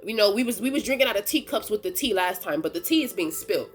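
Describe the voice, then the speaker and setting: A woman's voice: mid-range voice, expressive, fast talker, soft background music. A woman with a stuffy nose from a cold, chatting casually in as she gives a tarot reading, slangy and laughing often. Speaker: tarot reader and channel host.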